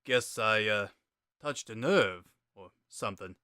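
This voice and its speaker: A man's voice: lowish voice, measured pace, sharp tone, smooth, no room sound, studio quality. A man voicing a laid-back, wry joker with a casual, sardonic drawl in a dramatic story reading. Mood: awkward, subdued. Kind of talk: dramatic reading of fan fiction.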